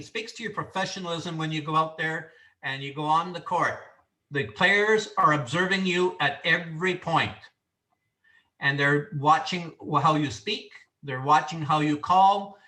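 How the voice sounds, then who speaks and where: A man from Canada lecturing referee trainees, loud and sure of himself, his voice bright and a touch high. He sits back from the mic in an echoey room, so the sound is roomy and distant. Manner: loud, confident, instructive delivery. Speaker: referee instructor.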